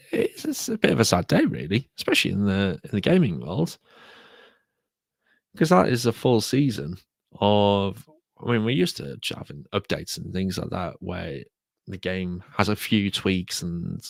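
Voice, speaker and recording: A British man hosting a solo podcast, talking casually and repeating himself, croaky from a sore throat. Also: low-pitched, no room sound. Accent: British English. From United Kingdom. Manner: rambling, repeats words. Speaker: podcast host.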